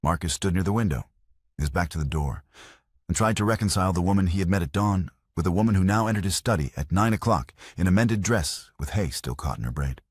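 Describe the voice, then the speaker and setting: A man's voice: low-pitched, fairly quick, slightly rough, no echo, clean studio sound. A man reading a scripted romance story as an audiobook narrator.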